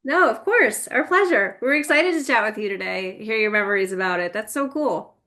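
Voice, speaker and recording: Bright-voiced woman in her forties hosting. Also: mid-range voice, expressive, brisk. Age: 40s. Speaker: podcast co-host. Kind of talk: casual interview chat.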